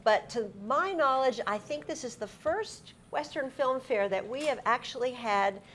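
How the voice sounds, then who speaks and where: A woman's voice: fairly high pitch, fairly bright tone. A middle-aged woman hosting a live on-stage interview in an effusive, encouraging manner. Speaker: interviewer, host. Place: TV-style desk set before a live audience. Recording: clear background noise, live event.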